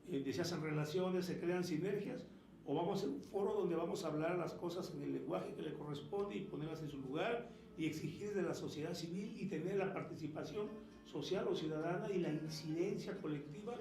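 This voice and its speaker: A man's voice: on the high side, brisk, a little mellow, echoey room, soft background music, rough recording. A middle-aged or older man from Peru who has long lived in Mexico, explaining things earnestly and emphatically as an interview guest. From Peru, long resident in Mexico. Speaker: interview guest, human rights lawyer.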